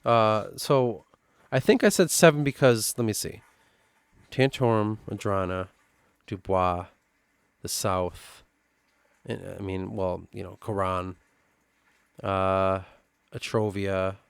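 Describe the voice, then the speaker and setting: A man with a Midwestern accent reading through his own fantasy lore notes and thinking aloud, halting and restarting as he goes. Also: low-pitched, steady tone, gravelly, clean tone, no echo. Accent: Midwestern American. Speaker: worldbuilding writer.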